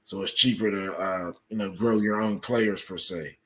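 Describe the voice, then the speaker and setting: A man speaking as an interview guest, explaining things in a measured, matter-of-fact way. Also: deep voice, fairly even intonation. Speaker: podcast guest, writer explaining his subject.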